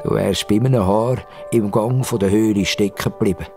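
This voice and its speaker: A man voicing an ancient grandmother mouse, teasing and unhurried, in a children's story. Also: fairly low pitch, fairly even intonation, over music, lightly acted. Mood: amused.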